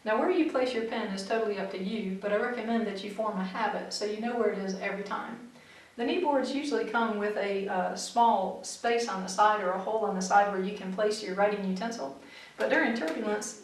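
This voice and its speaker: Methodical woman, very echoey far-off room. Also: mid-range voice. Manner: clear, methodical, practical advice. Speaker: flight instructor. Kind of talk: instructional explanation to camera. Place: small studio room in front of a backdrop, seated. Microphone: far camera mic.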